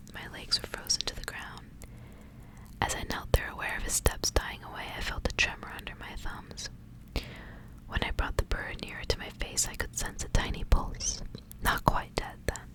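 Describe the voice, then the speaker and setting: Female ASMR reader for literary fiction. Whispers throughout, breathy and gentle, narrating in short phrases. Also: normal speed, poor audio. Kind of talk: ASMR whispered storybook reading.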